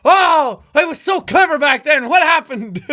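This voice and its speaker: An Irish man with a very high, bright voice, riffing through casual reaction commentary as a gaming creator. He bursts into loud exclamations and swears casually. His delivery is upbeat and punchy. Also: lively intonation, unhurried. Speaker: gaming YouTuber.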